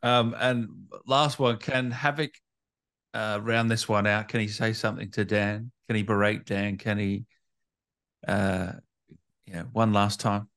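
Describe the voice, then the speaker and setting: A man's voice: lowish voice, fairly even intonation, a little slow, fairly bright tone, clean tone, dry recording. Dry-sounding man hosting a casual interview. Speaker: interview host. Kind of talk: casual chat.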